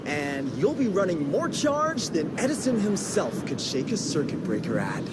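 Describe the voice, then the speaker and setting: A man's voice: sits high, huge pitch swings, moderate pace, very noisy. A man playing a nervous, eager, brainy young scientist sidekick in a video game, wordy and hesitant. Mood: eager explaining. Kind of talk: video game cutscene acting.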